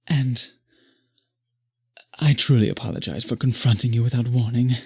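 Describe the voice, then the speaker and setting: A man as a breathy, courtly, obsessive vampire. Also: mid-range voice, fairly even intonation, mellow. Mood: pleading, devoted. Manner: formal, florid, slow and beseeching. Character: courtly, obsessive, possessive male vampire pleading with the listener. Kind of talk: intimate roleplay audio acting.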